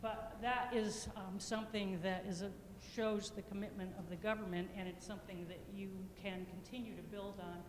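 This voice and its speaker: A woman presenting a village master plan at a public meeting, speaking loudly with frequent fillers and restarts. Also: mid-range voice, steady tone. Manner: self-described loud voice, halting with fillers. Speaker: planning consultant. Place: municipal meeting room. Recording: echoey meeting hall with faint noise.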